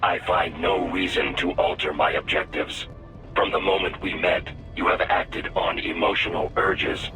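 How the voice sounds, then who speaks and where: An actor playing a coldly logical artificial intelligence companion in a video game, stiff, formal and analytical. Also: male voice, low voice, fairly even intonation, measured pace, distant mic, over music, rough recording. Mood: cool, analytical. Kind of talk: video game cutscene acting.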